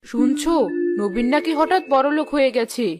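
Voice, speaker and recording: Woman doing a high sharp nagging aunt, greedy, cartoon, faint music. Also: normal speed, natural voice. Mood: scheming. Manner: sharp, accusing. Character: scolding greedy middle-aged aunt. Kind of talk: cartoon voice acting.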